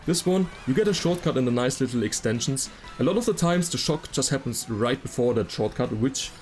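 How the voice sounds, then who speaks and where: Casting: male game guide narrator, medium pitch, casual and explanatory, music underneath. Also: a little mellow. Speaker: video game guide creator. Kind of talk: explanatory gameplay commentary.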